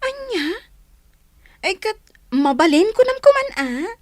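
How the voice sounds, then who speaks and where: Casting: actress for grumbling, excitable young working woman, high and lively, Ilocano comedy radio play. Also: unhurried, dry recording, studio quality, full character voice. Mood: excited, coy.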